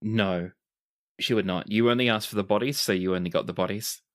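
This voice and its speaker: A man's voice: lowish voice, brisk. A man improvising narration as the game master of a tabletop roleplaying podcast.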